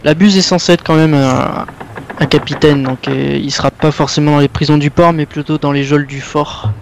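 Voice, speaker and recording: A man playing a tabletop game, calmly laying out plans. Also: on the high side, brisk, gravelly, slight background noise. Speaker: player. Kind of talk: casual play at a tabletop game.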